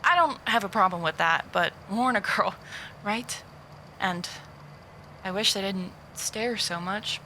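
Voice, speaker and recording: A woman's voice: medium pitch, sharp tone, slight background noise. A woman playing a weary, wry private investigator recording a hesitant, dry voice log in an audio drama. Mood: resigned, wry.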